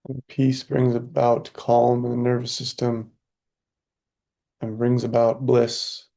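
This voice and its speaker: A man guides a meditation with a very flat, barely moving pitch and a creaky edge to his voice. The tone is dark and mellow. He is calm and soothing, leaving long silences between short phrases. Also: takes it slowly. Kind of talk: guided meditation, spoken softly with long pauses.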